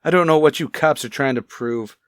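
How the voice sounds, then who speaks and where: A man's voice: on the high side, a little fast, a bit bright, dry recording, natural voice. Man as cocky thirty-something suspect, sneering, wisecracking, radio crime drama, close dry sound. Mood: defensive, agitated. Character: cocky, defensive thirty-one-year-old clothing salesman under arrest. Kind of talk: old-time radio crime drama acting.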